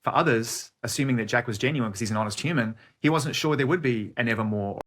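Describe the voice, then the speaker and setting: A man's voice: mid-range voice, fast, a bit bright. A man interviewing a musician in a wordy, reflective, conversational way.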